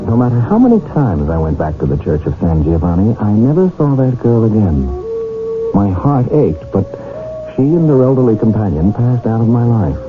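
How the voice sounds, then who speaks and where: An actor playing an earnest, lovestruck English gentleman who tells his own tale in a period radio drama. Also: on the low side, big pitch swings, normal speed. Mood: wistful. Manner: polite, romantic, storytelling. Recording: tinny band-limited old radio broadcast, music behind.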